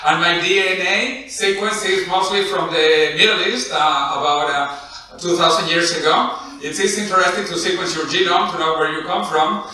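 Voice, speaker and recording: Middle-aged male conference speaker, Spanish accent, very bright forceful voice, heavily echoing hall. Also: a little high, normal speed. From Venezuela. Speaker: conference speaker and session host. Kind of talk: conference presentation, informal. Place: indoor conference lecture hall at podium. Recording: echoey lecture hall, distant mic.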